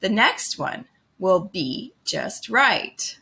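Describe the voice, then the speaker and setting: A woman's voice: medium pitch, very animated, drawn-out words, creaky, pushed and bright, heavily acted. A woman voicing an easygoing young male cat artist from a children's storybook, with laid-back, slangy expressiveness. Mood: upbeat.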